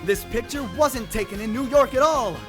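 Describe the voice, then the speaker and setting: A man's voice: extremely high pitch, moderate pace, music in the background. A man voicing a cocky, bumbling young defense attorney with flippant bravado in a comedic cartoon parody. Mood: triumphant. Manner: flippant, sarcastic, stammering bravado.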